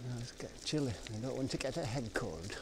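A man's voice: medium pitch, lively intonation, fast, vocal fry. A man rambling on in a loose, musing way while cycling outdoors, recorded on a camera mounted on his bike. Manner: musing, wandering, loose and unscripted. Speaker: vlogger. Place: outdoors on a country road and woodland, riding a bike. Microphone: action camera on the bike. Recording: noisy outdoor action-camera audio.

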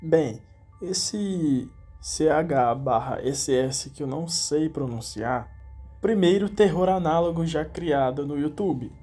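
Brazilian guy doing youtube narration, kinda high voice for a man, informal explainer style. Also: mellow. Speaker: YouTube host. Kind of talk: informal video essay narration on horror series.

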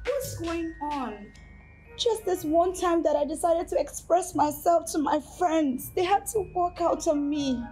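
A woman's voice: extremely high pitch, measured pace, mellow, soft background music. A woman with a Nigerian accent acting as an overfamiliar, meddling best friend in a screen drama. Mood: aggrieved. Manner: chatty, reminiscing. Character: meddling, overfamiliar young female best friend, smug and self-pitying.

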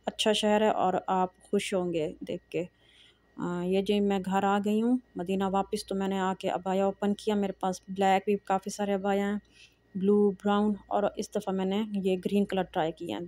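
A middle-aged woman, narrating a casual shopping vlog in a calm, soft-spoken way with frequent hesitations. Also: mid-range voice, no echo. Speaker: vlogger. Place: large indoor shopping mall. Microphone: phone camera held while walking.

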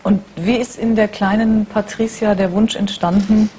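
A woman's voice: mid-range voice, fairly even intonation, moderate pace, warm tone. A woman in her thirties interviewing a guest as a television talk show host. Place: TV talk show studio with audience. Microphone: lapel mic. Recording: noisy old VHS TV recording.

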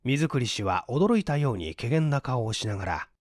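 A man's voice: mid-range voice, sing-song, moderate pace, dry recording, studio quality. A man narrating a literary story aloud in a dramatic reading style. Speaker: audiobook narrator and stage performer.

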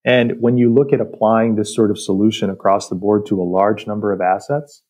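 A man with a relaxed, mellow voice sits a little low in pitch. He talks as a technical guest in an interview, laying out industrial detail in a measured, explanatory way. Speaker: technical director, podcast guest.

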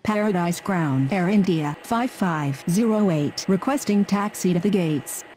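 A woman's voice: lowish voice, a little slow, a little mellow, slight background noise, close to an everyday voice. A woman voicing a businesslike ground control operator in a simulator game, giving procedural scripted calls. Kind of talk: scripted aviation radio phraseology.

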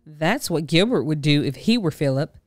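A woman narrating a children's storybook in an expressive read-aloud style. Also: deep voice, normal speed, no echo, studio quality, soft background music.

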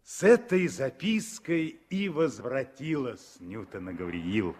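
Male storyteller for vintage radio play; medium pitch, lively intonation, dramatic phrasing. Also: slow pace, slightly rough. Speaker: narrator. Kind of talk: scripted radio drama narration, 1960s.

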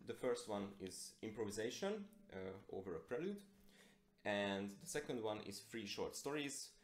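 Young man, Hungarian accent, deep pitch, halting delivery, musician's concert introduction, echoey indoor recording. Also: expressive. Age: young adult. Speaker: classical guitarist and composer. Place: plain indoor room. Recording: echoey small room.

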